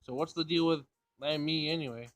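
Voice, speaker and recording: A man's voice: on the high side, a little fast, fairly bright tone. A man casually reading a visual novel aloud, narration and dialogue, sometimes stumbling over the words.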